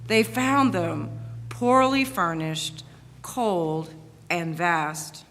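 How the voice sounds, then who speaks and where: A woman's voice: on the low side, animated, extremely slow, a bit bright. A woman giving scripted narration in a dramatic story reading. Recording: reverberant hall, faint noise.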